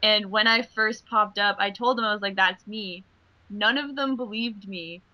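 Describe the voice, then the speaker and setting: Young lady chatting on a commentary, bright clear-cut tone, sounds close and dry. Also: mid-range voice, normal speed, dry recording, low-quality recording. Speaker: voice actress. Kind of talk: casual commentary chat.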